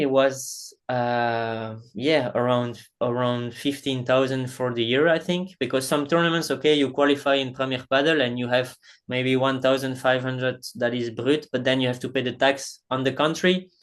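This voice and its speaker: A young adult man from Belgium, a padel player answering interview questions casually with non-native phrasing and frequent restarts. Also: medium pitch. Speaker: professional padel player, guest.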